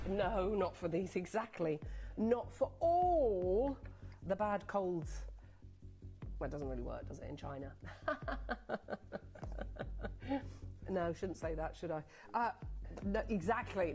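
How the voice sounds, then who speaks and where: A woman presenting live on a TV shopping channel, chatty and excitable, rattling off buyer names and sounding a little sniffly from a cold. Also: lowish voice. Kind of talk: live on-air sales pitch. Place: TV studio.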